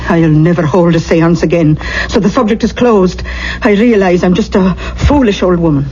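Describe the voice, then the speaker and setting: An actress playing an affectionate, remorseful old Scottish aunt in a radio drama, speaking in a lilting, wistful, old-fashioned way. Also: female voice, on the low side, normal speed, dark tone, lightly acted. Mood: wistful, regretful. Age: older. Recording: muffled, noisy 1970s radio recording.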